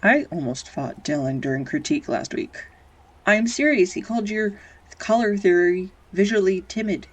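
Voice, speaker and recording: A woman playing a grumpy, sarcastic young art student who shyly confesses love in an intimate romantic audio roleplay, halting and wry. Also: medium pitch, expressive, highs cut off, close to an everyday voice. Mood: indignant, wry. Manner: halting, wry, flustered, sighs and soft laughs. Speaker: voice actor.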